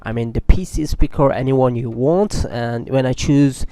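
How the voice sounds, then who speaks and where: A man hosting a tech tutorial, narrating software steps into a computer microphone. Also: medium pitch, normal speed, no room sound. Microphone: USB microphone at a computer.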